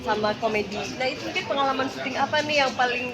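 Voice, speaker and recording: A woman reporter asking casual, quick questions in an interview. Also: high-pitched. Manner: quick, casual questions ending in tag words. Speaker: entertainment reporter. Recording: loud crowd noise behind voice. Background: loud crowd chatter.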